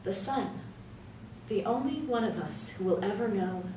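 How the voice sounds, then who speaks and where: Woman doing a dramatic poetry reading, really slow w/ big pauses, echoey and kinda far from the mic. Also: mid-range voice, lively intonation, warm tone. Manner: measured, theatrical, with deliberate pauses. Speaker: poetry reader. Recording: roomy echo, distant mic, light noise.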